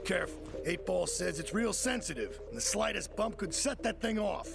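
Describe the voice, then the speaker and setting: An actor playing a swaggering, hot-tempered Italian-American mobster in a crime video game, blunt and aggressive, with a gravelly edge. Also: male voice, high-pitched, expressive, normal speed, over music, full character voice. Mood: fed up, vengeful. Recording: clearly noisy ambience behind voice.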